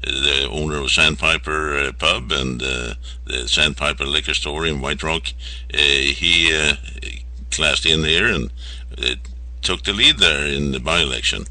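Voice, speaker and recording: A man hosting an informal radio interview, speaking in a halting, rambling and polite way. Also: a bass voice, bright, forceful tone. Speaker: radio show host.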